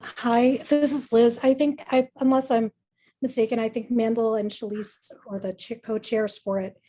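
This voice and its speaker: A woman's voice: fairly high pitch, fairly even intonation, normal speed, mellow. A woman giving an informal committee update at a neighborhood meeting, chatty and rambling with many restarts. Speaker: committee member.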